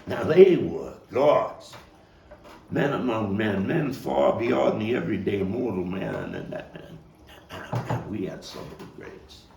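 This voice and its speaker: A man performing a nostalgic Brooklyn man looking back and searching his soul in a stage monologue. The voice is very low and unhurried, swinging widely between hushed and emphatic, with halting repeats. It is heard from a distance in an echoey room. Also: sing-song, gravelly, exaggerated voice. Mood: proud, reverent. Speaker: playwright and actor. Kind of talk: dramatic stage monologue at a table read. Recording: distant mic, roomy echo, faint noise.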